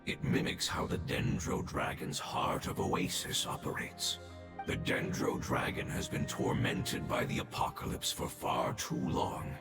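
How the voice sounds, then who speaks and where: An actor playing a dying ancient male creature who speaks in a hushed, gravelly, solemn way in a game cutscene. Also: natural voice. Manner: solemn, measured farewell. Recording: echoey voice over game music.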